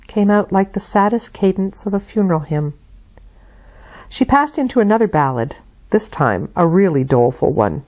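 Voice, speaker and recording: A woman narrating literary fiction as an audiobook, with measured, expressive delivery and deliberate phrasing. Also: medium pitch. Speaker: audiobook reader.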